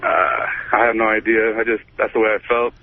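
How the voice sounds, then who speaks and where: A man's voice: on the low side, fairly even intonation, moderate pace. A man calling a radio show, confessing casually and a little hesitantly. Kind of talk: casual confessional phone chat. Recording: thin, dry telephone line.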